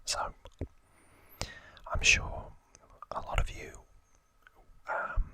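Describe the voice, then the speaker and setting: A man whispering softly in a breathy, unhurried ASMR style as he explains trivia informally, pausing between short phrases. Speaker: ASMR video host.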